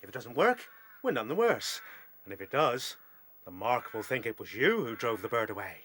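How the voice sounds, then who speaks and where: An actor playing a bluff, bold rabbit fighter in a radio play, hearty with a sly show of respect. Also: male voice, mid-range voice, big pitch swings, moderate pace, fairly bright tone, a little hiss or hum. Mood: coaxing.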